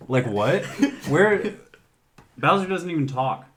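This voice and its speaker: A man's voice: a little high, sing-song, takes it slowly, a bit bright, slight background noise. A man co-hosting a podcast, chatting about movies in an informal, blunt way. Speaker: podcast co-host. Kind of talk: casual movie discussion chat.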